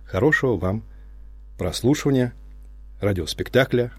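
A man, a theatre director, speaking off the cuff to listeners in an earnest, thoughtful way, pausing often with filler sounds. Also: medium pitch, expressive, husky, no echo. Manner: hesitant, with frequent filler sounds and restarts. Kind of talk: unscripted spoken address to listeners.

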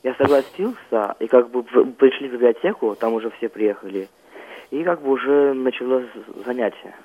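Teen guy calling into radio, muffled phone sound, kinda hesitant answers with lots of filler. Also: mid-range voice. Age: teenager. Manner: halting, reserved, often says "like". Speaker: young amateur playwright, phone-in guest. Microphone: telephone. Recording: muffled, band-limited phone line.

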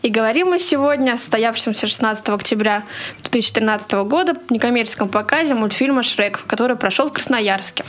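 A woman's voice: a little high, fast talker. A woman hosting a studio radio programme, presenting the guests and topic in a semi-formal manner. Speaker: radio host.

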